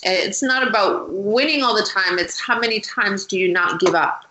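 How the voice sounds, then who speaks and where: A woman being interviewed, telling her story in an animated, chatty way. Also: mid-range voice, normal speed, poor audio. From Canada. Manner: animated, chatty, with frequent restarts and laughs. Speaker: guest, matchmaker.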